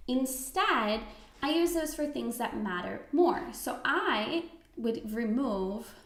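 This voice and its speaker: A woman giving a live webinar demo of software, chatty and checking in with her viewers. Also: on the high side. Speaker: software trainer. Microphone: computer mic on a video call. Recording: echoey room.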